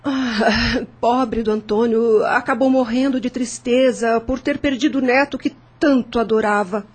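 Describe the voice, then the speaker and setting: An actress playing an elegant, mature landowner's widow in a radio drama, speaking in a formal, expressive, gracious way. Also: female voice, fairly high pitch, unhurried, breathy edge. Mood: wistful. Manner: formal, theatrical, expressive delivery.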